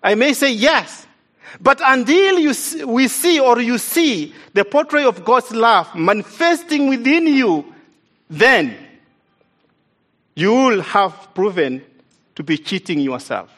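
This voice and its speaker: An East African man, not a native English speaker, delivers a sermon in a notably high voice. He preaches earnestly and with emphasis, repeating his main points to drive them home. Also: sing-song, slow pace, a bit bright. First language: an African language, not English. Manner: earnest, emphatic, repeats key lines for stress.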